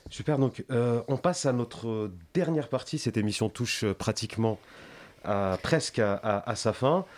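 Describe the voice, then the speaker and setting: A man's voice: medium pitch, a little slow, very noisy. A young man hosting a student radio show in a studio, talking casually and hesitantly with many fillers and self-corrections. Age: young adult.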